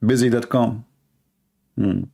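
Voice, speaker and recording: A man hosts a live stream in a sarcastic, mocking tone. His voice sits at an ordinary mid pitch, and he slips into funny voices to mimic the people he talks about. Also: fairly even intonation, slow pace, gravelly, warm tone. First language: Arabic.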